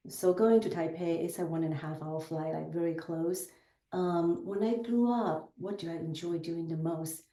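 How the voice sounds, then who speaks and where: Chinese-accented woman, mellow voice, echoey room. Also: lowish voice, moderate pace. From southern China. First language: Chinese dialect. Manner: thoughtful, with frequent fillers and self-corrections. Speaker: podcast guest. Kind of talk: casual interview conversation.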